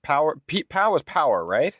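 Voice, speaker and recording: A man's voice: a little high, big pitch swings, moderate pace, fairly bright tone, no room sound, muffled recording. A man chatting casually as a player in a tabletop role-playing game.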